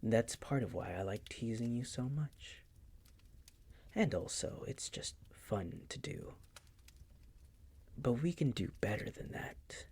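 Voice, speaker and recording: A man playing a possessive, condescending vampire master in an intimate roleplay audio, teasing in a breathy, gravelly, often whispered voice. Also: medium pitch, animated, a little mellow, heavily acted. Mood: teasing, dominant. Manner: slow, teasing, condescending purr.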